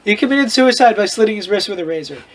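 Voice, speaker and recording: A man's voice: sits high, animated, fast, crowd noise. A man reading a story aloud in a casual comedic way, mock-dramatic and often breaking off to riff. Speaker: host reading fan fiction aloud with commentary.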